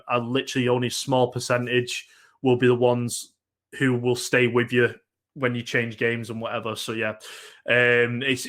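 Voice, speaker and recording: A young man from Manchester with a Mancunian accent, giving a casual workshop talk on getting into esports. He pauses often with ums and repeats words as he thinks. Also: mid-range voice, sharp tone. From Northern England, Manchester.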